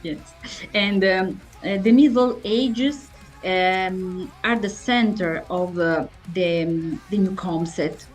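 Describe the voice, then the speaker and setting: A woman's voice: fairly low pitch, soft background music. A woman asking prepared interview questions in a hesitant, stumbling way. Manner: hesitant, stumbling delivery with searching pauses.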